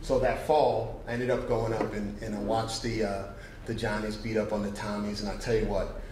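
A man's voice: fairly low pitch, flat delivery, normal speed. A middle-aged man giving an award presentation speech at a podium microphone, conversational and folksy, with emphatic repetition. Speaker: guest presenter, company manager. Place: stage in an auditorium. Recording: very echoey hall, distant, faint noise.

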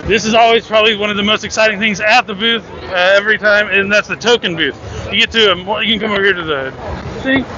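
A man's voice: sits high, quick pace. A young man giving an upbeat walking tour of a convention booth, talking into a handheld mic with many fillers. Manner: upbeat and promotional, frequent fillers while walking. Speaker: booth guide for a card game company. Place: busy convention hall indoors. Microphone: handheld mic with windscreen. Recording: dull audio with loud crowd noise.

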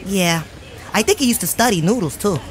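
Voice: mid-range voice, lively intonation, a little fast, crowd noise, close to an everyday voice. An actor voicing an eager, earnest young dog boy in a cartoon rap game. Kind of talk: simple echoed rap lines and cartoon dialogue. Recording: loud backing music.

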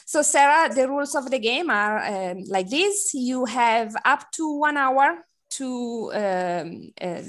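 A woman's voice: sits high, lively intonation, takes it slowly, a bit bright. A woman in her forties hosting a seminar over a video call with a headset, chatty and hesitant with many fillers. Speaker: seminar host. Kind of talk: introducing a speaker on a video call. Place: home room by shuttered window.

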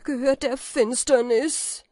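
A woman voicing a desperate young Scottish peasant man who pleads in anguish, slow and drawn-out, for a storybook reading. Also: extremely high pitch, fairly even intonation, a little mellow, dry recording, voice pushed up.

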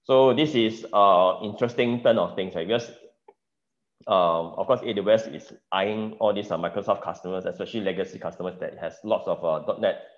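A man with a Singaporean English accent giving a casual open source news update at a meetup, halting, with many fillers and "right?" tags, over a video call. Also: deep voice. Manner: halting, many fillers and restarts, tag questions. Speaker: meetup presenter. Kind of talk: casual tech news talk. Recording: roomy, dull video-call audio.

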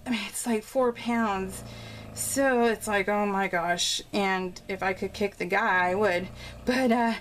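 A woman chatting casually and informally as she narrates a craft video, telling stories in a rambling way. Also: medium pitch. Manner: rambling, informal storytelling with exclamations. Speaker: craft vlogger showing a scrapbook layout. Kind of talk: casual, chatty real-time craft voice-over. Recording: clear background noise.

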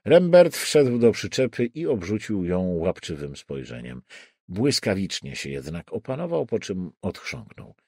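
A man reads a dark thriller with dramatic, suspenseful timing. His pitch is on the low side and moves widely, the pace is slow, and the sound is close and dry. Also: big pitch swings, husky, dry recording. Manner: deliberate, suspenseful delivery with dramatic pauses. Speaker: audiobook narrator. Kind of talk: dramatic scripted narration of a crime thriller.

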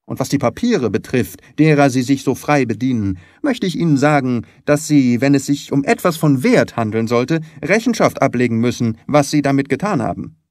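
A man voicing a quarrelsome, stingy little old lord in an audiobook, with a sharp, screechy voice and a tense, commanding manner. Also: mid-range voice, animated, moderate pace, few pauses, dry recording, studio quality, lightly acted. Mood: indignant, miserly. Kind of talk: dramatised audiobook reading.

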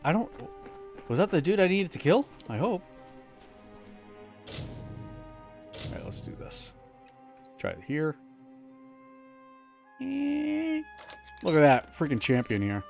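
Self-mocking streamer, lively voice, game music. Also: male voice, fairly high pitch, slow pace, gravelly, pauses often. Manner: self-mocking, mutters to himself while playing. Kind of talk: casual live gameplay commentary. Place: small room at home.

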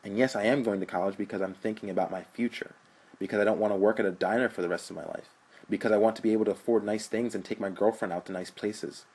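Man reading as earnest lecturing young brother, husky, low-pitched. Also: steady tone, fast talker, a little mellow. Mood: pleading. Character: earnest young college-bound brother, judgmental.

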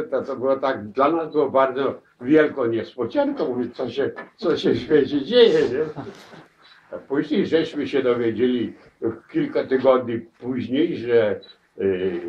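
Elderly man telling stories, halting. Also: mid-range voice. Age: older. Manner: halting, many restarts and repeated words. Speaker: war veteran interviewee. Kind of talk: spoken war memories. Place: crowded living room, indoors. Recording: distant, dull camera audio.

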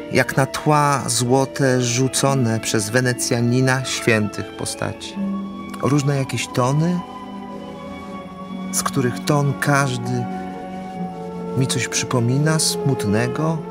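An actor playing a florid, melancholy romantic count of fifty in a verse radio drama, speaking haltingly and poetically. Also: male voice, medium pitch, fairly even intonation, over music. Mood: dreamy, melancholy.

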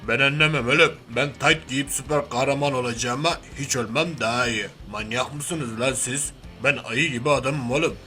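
A man's voice: mid-range voice, moderate pace, hardly any pauses, pushed and bright, close to an everyday voice. A man voicing a crude, hot-headed, hairy oaf of a superhero in a comic game cartoon, with brash, slangy, grumbling street talk. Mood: indignant. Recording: music behind the voice.

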